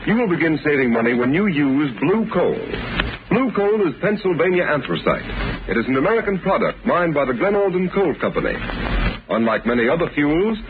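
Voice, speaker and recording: A man's voice: on the high side, big pitch swings, fairly quick. A man reading a scripted 1930s radio commercial as announcer, with emphatic, careful salesmanship. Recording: tinny crackly 1930s radio recording.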